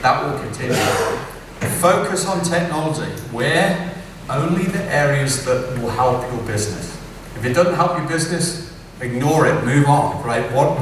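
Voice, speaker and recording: A middle-aged man from England with an English accent, giving a conversational keynote talk at a conference and often asking "right?". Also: medium pitch. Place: large conference hall. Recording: echoey distant hall recording with background noise.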